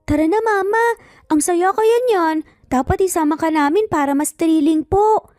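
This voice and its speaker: A woman voicing an excitable eight-year-old boy in a Tagalog story, with whiny, insistent childlike pleading. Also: very high-pitched, normal speed, studio quality, voice pushed up. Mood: eager, pleading. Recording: faint music, roomy echo.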